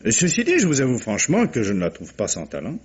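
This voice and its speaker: An actor playing an urbane, conciliatory husband in a 1950s French radio drama, courteous and bantering. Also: male voice, mid-range voice, wildly swooping pitch, fast talker, husky. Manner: courteous, bantering, frequent soft interjections. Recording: dull, muffled old radio recording.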